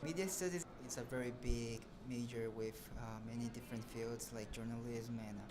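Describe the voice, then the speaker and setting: A young man in his twenties from Brazil, a student answering interview questions in a relaxed, hesitant way, often pausing to search for words. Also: mid-range voice, background noise. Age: 20s. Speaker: student guest.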